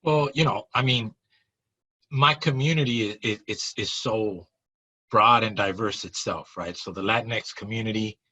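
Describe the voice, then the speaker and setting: A man's voice: fairly low pitch, moderate pace, bright, forceful tone. A man from the Long Beach area, co-founder of a nonprofit and a guest, speaking in an earnest way and often repeating and restarting words. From Long Beach area, California. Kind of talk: conversational interview. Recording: muffled, lo-fi audio.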